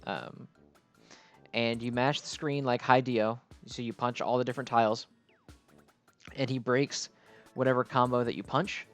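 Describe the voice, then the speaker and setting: A man's voice: mid-range voice, fairly even intonation, a little slow, long pauses, faint music behind. Rambling man doing casual gameplay commentary. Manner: rambling, self-interrupting, casually sweary. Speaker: gaming video host.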